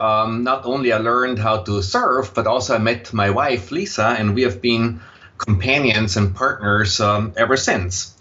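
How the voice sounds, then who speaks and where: A man in his sixties speaks with an Austrian accent as a podcast guest. His pitch is average and he talks conversationally about himself, on a slightly dull, lo-fi recording. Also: pushed and bright. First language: German. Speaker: podcast guest, impact investor and former tech executive. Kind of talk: conversational personal account in an interview.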